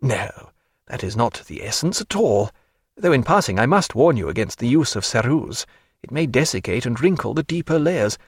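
A man reading an earnest gentleman in an audiobook, speaking in a stiff, formal manner. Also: mid-range voice, sing-song, normal speed, slightly rough, dry recording, clean studio sound.

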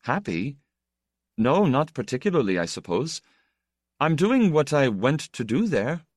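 A proud young man from an audiobook, as a male reader plays him, stiff and awkward with bitterness underneath. The pitch is raised and moves a lot, in a dry recording. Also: big pitch swings, unhurried, no echo. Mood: bitter, resigned. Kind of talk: audiobook character dialogue.

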